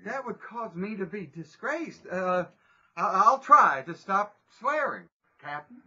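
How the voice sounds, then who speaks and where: A man's voice: high voice, big pitch swings, measured pace, dull audio. An actor playing a sheepish sailor who stammers and hesitates as he answers his captain. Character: sheepish young male sailor who swears. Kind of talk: audio drama acting.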